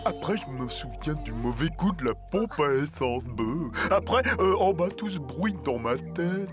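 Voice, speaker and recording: Cartoon alien guinea pig, played by an actor: gluttonous, naive, apologetic, very lively intonation. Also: medium pitch, moderate pace, music in the background, lightly acted. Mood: scatterbrained, cheerful. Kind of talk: French children's cartoon dubbing.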